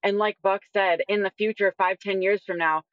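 A woman's voice: mid-range voice, steady tone, moderate pace, dry recording, muffled recording. A woman in her twenties talking earnestly as a guest in a conversational discussion, often saying "like". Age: 20s. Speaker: guest, detransition advocate.

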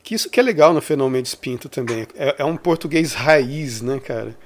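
A man's voice: medium pitch, animated, brisk. Brazilian man in a casual podcast chat. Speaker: podcast host. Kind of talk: casual chat about literature.

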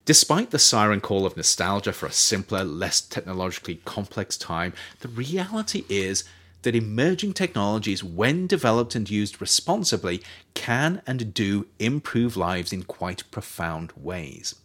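A middle-aged man reading his own book as a scripted audiobook, in a measured, reflective, formal way. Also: lowish voice, very animated, a bit bright.

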